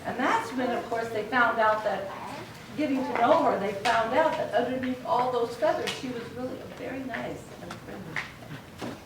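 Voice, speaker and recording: A woman reading a story aloud to young children in an expressive way, with little asides to her listeners. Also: on the high side, moderate pace. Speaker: children's author reading own book aloud. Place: book signing event in an echoey room. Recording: echoey room recording with clear noise.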